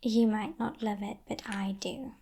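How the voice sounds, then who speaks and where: A woman voicing a teasing, possessive female demon captor in a breathy, mock-polite whisper, as ASMR roleplay. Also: on the high side, fairly even intonation, exaggerated voice. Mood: smug, amused. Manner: slow, hushed, mock-polite, condescending.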